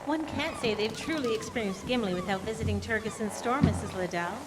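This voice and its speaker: A woman's voice: mid-range voice, fairly quick, close to an everyday voice. A woman playing a sociable, proud married lady with effusive, formal phrasing in an old-time radio drama. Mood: effusive, welcoming. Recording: noisy live stage recording.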